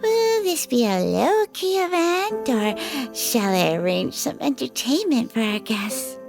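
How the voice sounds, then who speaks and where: An actress playing a flirtatious woman devoted to her vampire lover in an audio drama, speaking softly and coyly. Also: sits high, big pitch swings, takes it slowly, creaky, over music, heavily acted. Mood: attentive. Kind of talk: ASMR audio drama acting.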